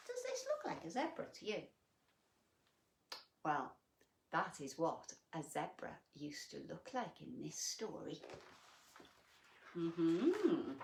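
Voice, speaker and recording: A woman reading a bedtime picture book to children, with gentle, chatty asides and questions to her young listeners. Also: low voice, reverberant.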